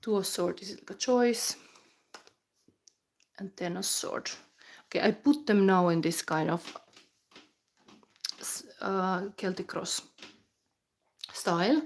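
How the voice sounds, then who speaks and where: A woman's voice: medium pitch, fairly even intonation, slow, long pauses. A woman from Finland with a Finnish accent, giving a live tarot reading while down with the flu, rambling with fillers and restarts and sometimes dropping into a whisper. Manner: rambling, thinking aloud with many fillers and restarts; drops into whispers while channeling. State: has the flu. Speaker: tarot reader.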